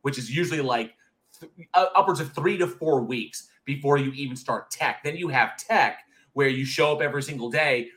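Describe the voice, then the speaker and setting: A man's voice: medium pitch, pushed and bright. A man in his thirties co-hosting a casual live chat show, candid and swearing freely, often restarting his sentences. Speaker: co-host of horror review show.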